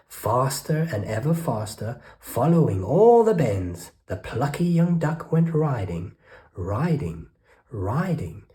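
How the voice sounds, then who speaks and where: A storyteller reading a rhyming children's picture book aloud with rhythmic, expressive delivery. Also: mid-range voice. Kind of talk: children's read-aloud narration.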